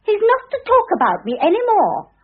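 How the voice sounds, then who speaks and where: An affronted British society wife with a high, wildly swooping voice. She is petulant and full of haughty airs, in the manner of old radio drama. The recording is thin and narrow, like a telephone. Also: wildly swooping pitch, fairly quick, full character voice. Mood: indignant, huffy. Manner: haughty, huffy, affected airs. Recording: thin phone-like vintage radio sound.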